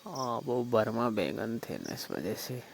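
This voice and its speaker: A man's voice: mid-range voice, very animated, a little slow, vocal fry, slight background noise. A man playing a flirtatious, pushy village brother-in-law on a staged night phone call, coaxing informally and persistently with a Rajasthani accent. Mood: casual, flirtatious. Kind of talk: staged phone-call role-play in casual talk.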